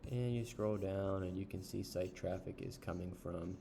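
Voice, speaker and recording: A man casually reviewing an online service while browsing its pages, unhurried, with frequent ums and uhs. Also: deep voice, steady tone, creaky, warm tone, a little hiss or hum. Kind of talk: casual screen-share review.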